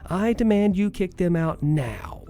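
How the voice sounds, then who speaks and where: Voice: very animated, unhurried, mellow, faint music behind. A storyteller voicing a rude, entitled middle-aged woman patient who screeches and sneers. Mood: indignant. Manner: shrill, screeching and scornful.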